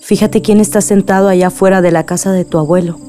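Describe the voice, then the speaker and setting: A woman's voice: mid-range voice, fairly even intonation, fast talker, warm tone, soft background music, lightly acted. An actress playing a kindly, grieving middle-aged mother in a radio drama. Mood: encouraging.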